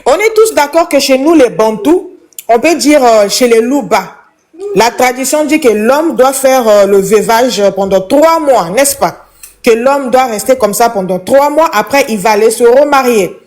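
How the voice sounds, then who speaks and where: A woman's voice: on the high side, expressive, moderate pace, a little mellow. Emphatic woman. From Congo. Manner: emphatic, preachy, repeats points. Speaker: caller in a live chat. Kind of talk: impassioned opinion monologue.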